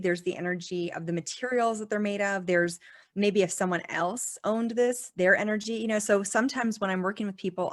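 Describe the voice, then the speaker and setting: A woman as a podcast guest in casual conversation, chatty and full of "like" fillers, laughing often. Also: medium pitch.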